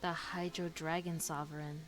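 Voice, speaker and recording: A woman's voice: low-pitched, level tone, normal speed. A woman streamer giving casual reaction commentary while playing a story game. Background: soft game music.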